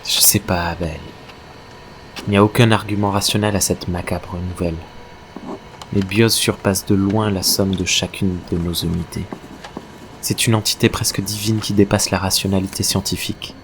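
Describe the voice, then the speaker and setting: A man's voice: sits low, steady tone, moderate pace. An actor playing an obsessive, exalted male researcher given to professorial philosophical tirades in a French audio drama. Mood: grave, resigned. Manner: professorial, fervent, rambling monologues. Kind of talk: French science-fiction audio drama acting. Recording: clear background noise and ambience.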